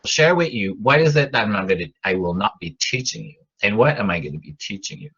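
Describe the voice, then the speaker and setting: French-Canadian man lecturing, bright voice. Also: on the low side, animated, fast, husky, muffled recording. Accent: Québécois French. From Quebec, Canada. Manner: rambling, restarts and fillers, rising emphasis on key words. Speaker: college professor. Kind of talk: online class lecture.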